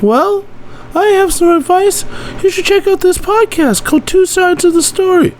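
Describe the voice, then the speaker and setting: A man's voice: very high-pitched, normal speed, darkish tone, pitched above the performer's own voice. A man voicing a chirpy, eager buddy with helpful advice in an amateur promotional skit. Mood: eager, cheerful. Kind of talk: amateur scripted podcast skit. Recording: faint background noise.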